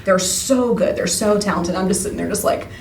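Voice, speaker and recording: A woman's voice: medium pitch, a little fast, creaky. Chatty young American woman, echoey distant recording. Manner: chatty and animated, acts out remembered dialogue, self-deprecating. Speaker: radio play cast member being interviewed. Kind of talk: casual interview chat. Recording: echoey room, distant mic, faint noise.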